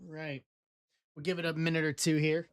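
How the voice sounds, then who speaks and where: A woman's voice: low-pitched, flat delivery, moderate pace, no room sound, clean studio sound. A woman with an East Coast American accent hosting a live card break stream, reading out team assignments and calling trades in short bursts.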